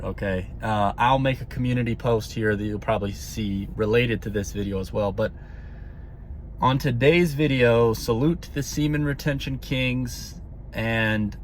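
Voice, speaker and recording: A man's voice: mid-range voice, slight background noise. A man talking to his viewers in a casual monologue, earnest and rambling, often tagging his sentences with "okay?" and repeating words. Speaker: spiritual vlogger.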